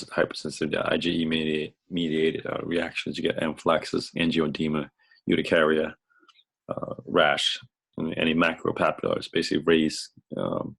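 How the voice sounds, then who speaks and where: A man who sounds sick, with a crackling, hoarse voice, giving an informal screen-share lecture in a halting, self-correcting way as host and instructor. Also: rumbling low. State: under the weather, sick.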